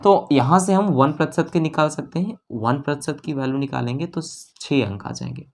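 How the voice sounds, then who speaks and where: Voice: medium pitch, moderate pace, slight background noise. Maths teacher, mid-range voice. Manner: explanatory, repeats figures and steps. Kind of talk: step-by-step exam maths lesson.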